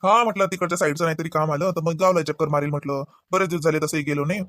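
A man's voice: fairly high pitch, fairly even intonation, rapid-fire, fairly bright tone, dry recording, studio quality, natural voice. A man voicing an easygoing, agreeable husband in a cartoon, rambling casually. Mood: explaining. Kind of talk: conversational cartoon dialogue.

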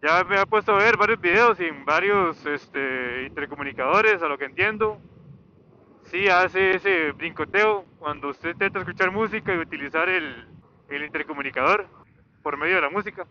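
A man with a fairly high-pitched voice talks off the cuff as he rides. He rambles and hesitates, circling back over his words. The helmet intercom mic sounds dull, with faint vehicle noise behind him. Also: normal speed, bright, forceful tone. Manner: rambling, hesitant, repeating himself. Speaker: motorcycle vlogger. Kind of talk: casual unscripted chat while riding. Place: outdoors, riding a motorcycle on a highway. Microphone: helmet intercom microphone. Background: faint motor and road noise.

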